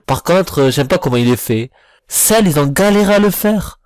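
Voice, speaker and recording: A man's voice: fairly high pitch, expressive, moderate pace, a little mellow, no room sound. A man reviewing in a voice-over, giving informal critical commentary in a blunt, slangy, complaining manner. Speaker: video reviewer. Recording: voice-over with music behind.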